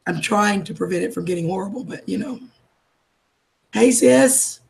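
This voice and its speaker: A woman, losing her voice from a cold, chatting warmly with viewers on a live stream and calling them darling and sweetheart. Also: medium pitch, normal speed, gravelly. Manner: affectionate, calls everyone darling and sweetheart. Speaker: livestream host. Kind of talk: casual live chat greeting viewers.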